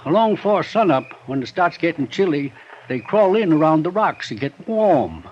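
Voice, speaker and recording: A man's voice: on the high side, very animated, moderate pace. An actor playing a folksy, shrewd frontier man with a drawl in an old radio drama. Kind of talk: 1950s radio acting. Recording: dull old radio transcription with hiss.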